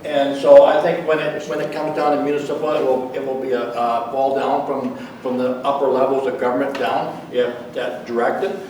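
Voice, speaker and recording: A male council candidate gives his answer in halting bursts, restarting his sentences as he goes. He sounds distant in a cavernous hall with noticeable background noise. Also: mid-range voice, flat delivery, darkish tone. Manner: halting, repeats words as he starts. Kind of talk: debate answer. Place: public meeting hall.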